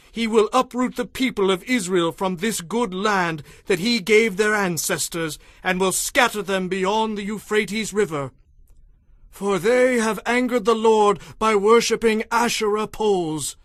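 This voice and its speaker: A man's voice: high voice, a bit bright, no echo, studio quality. An actor playing a stern old male prophet who solemnly declaims God's furious judgment in an audio Bible drama. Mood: grave, foreboding. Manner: solemn, declamatory, slow and emphatic.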